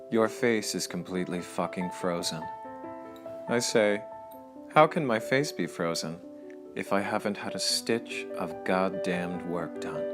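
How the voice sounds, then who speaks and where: A man voicing a vain woman who insists she has had no cosmetic work, talking flat, defensive and profane. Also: lowish voice. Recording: music playing under the voice.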